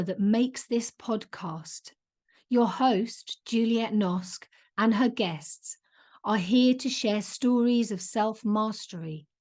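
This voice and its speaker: A woman hosting a podcast, who speaks softly and interviews a guest. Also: on the high side. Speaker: podcast host.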